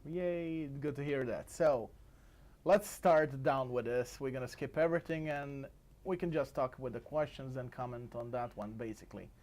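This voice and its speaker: A man's voice: mid-range voice, moderate pace, a little mellow. Friendly male podcast host, laughing. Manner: friendly, laughs often, slightly broken English. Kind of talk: casual interview chat.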